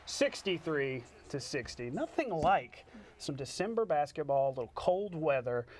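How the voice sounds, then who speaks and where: Playful higher-voiced American male sports anchor. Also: big pitch swings, moderate pace, a little mellow. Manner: playful, jokey asides. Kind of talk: highlight commentary and studio banter. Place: TV studio.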